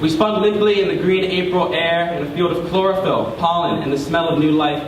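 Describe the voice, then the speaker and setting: High-voiced young man performing poetry, very echoey. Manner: dramatic, deliberate phrasing with drawn-out emphasis. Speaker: spoken word poet performing on stage. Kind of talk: theatrical spoken word poetry performance. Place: large room before a live audience. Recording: echoey hall, distant mic, clear background noise.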